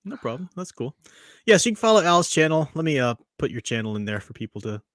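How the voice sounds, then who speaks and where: A man's voice: on the high side, big pitch swings, fast talker, a bit bright, dry recording. Guy hosting a live show, rambling and jokey, keeps cutting himself off, dry close sound. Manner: rambling, self-interrupting, joking. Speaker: podcast host. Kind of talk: casual live stream chat.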